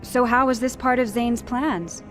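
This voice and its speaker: A woman's voice: on the high side, measured pace, fairly bright tone, faint music behind. An actress voicing a sardonic, impatient female warrior in a video game, dry and sarcastic. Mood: dry sarcasm. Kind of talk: game cutscene acting.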